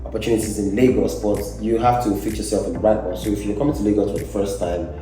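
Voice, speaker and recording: Nigerian man, low mellow voice, echoey room. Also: flat delivery, fast talker. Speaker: guest. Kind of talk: casual interview chat. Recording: echoey room, mic at a distance, faint music.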